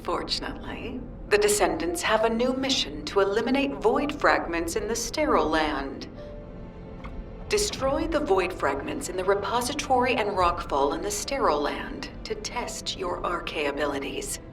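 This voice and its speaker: An actress playing an earnest, composed scientist in a video game, speaking formally in measured, clinical phrases. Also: female voice, mid-range voice, sing-song, natural voice. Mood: businesslike. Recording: roomy, distant, loud music behind.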